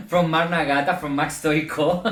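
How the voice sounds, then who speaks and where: Man, excitable, slow delivery, echoey room. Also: a little high. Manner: excited, laughing. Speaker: toy collector.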